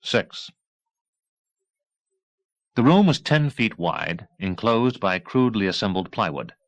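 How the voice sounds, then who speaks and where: A man's voice: on the low side, big pitch swings, slow pace, dry recording. A man reading a novel aloud as a scripted audiobook narration. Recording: 1980s cassette audiobook.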